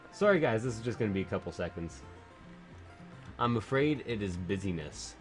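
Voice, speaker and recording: A man's voice: lowish voice, lively intonation, normal speed, soft background music. A Canadian man chatting casually and joking while streaming a video game, swearing now and then. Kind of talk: casual chat while gaming.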